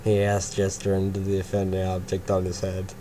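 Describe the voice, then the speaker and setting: A young man with a very low voice reads fanfiction aloud, tripping on words and cursing at his own slips. Steady background noise hangs under the recording. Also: brisk. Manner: stumbling, swearing, laughs at own slips. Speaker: fanfiction reader, narrator. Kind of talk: amateur fanfiction reading and banter.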